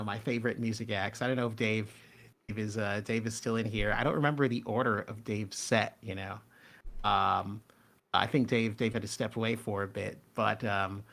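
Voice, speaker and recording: A man hosting casual live stream commentary, talking in a halting, rambling way and often cutting himself off. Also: lowish voice, creaky, a bit bright. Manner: halting, rambling, self-interrupting. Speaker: host and show's writer.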